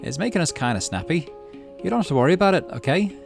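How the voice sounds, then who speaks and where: A man reading a game's dialogue aloud and voicing a gentle, anxious, protective father. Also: a little high, big pitch swings, fast talker, gravelly, faint music behind, pitched above the performer's own voice. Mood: affectionate. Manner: pleading, soft.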